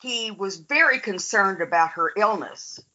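An American woman on a podcast, speaking with measured, wry dryness. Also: medium pitch, expressive, bright, forceful tone, muffled recording. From United States. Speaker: podcast guest, lawyer. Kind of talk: casual podcast chat.

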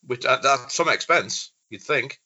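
A man's voice: mid-range voice, unhurried, bright, forceful tone, muffled recording. A man hosting a podcast, enthusiastic, with stammering restarts. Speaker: podcast host. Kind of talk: casual podcast chat.

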